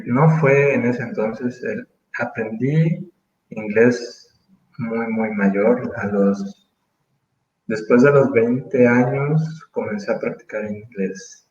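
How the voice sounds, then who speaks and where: A man in his thirties from Mexico, speaking as an interview guest in casual conversation, thoughtful and full of pauses and restarts. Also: medium pitch, darkish tone, reverberant, dull audio, a little hiss or hum. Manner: thoughtful, hesitant, many fillers and restarts.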